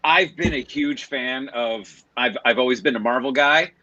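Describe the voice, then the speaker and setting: A man, a voice actor guest, talking enthusiastically in fast bursts and repeating himself. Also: mid-range voice, pushed and bright, no room sound, highs cut off. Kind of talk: casual podcast interview.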